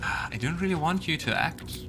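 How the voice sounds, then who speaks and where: A man's voice: on the high side, expressive, fairly quick. A man hosting a let's play, giving casual gameplay commentary as he thinks aloud. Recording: loud game music behind voice. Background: loud video game music.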